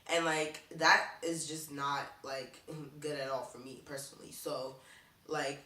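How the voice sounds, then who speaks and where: A woman's voice: deep voice, flat delivery, measured pace, pushed and bright, reverberant, recorded from a distance. A young woman vlogging casually to camera, chatting and leaning on "like" in nearly every sentence. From Baltimore, USA. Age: young adult. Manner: chatty, leans on "like" constantly.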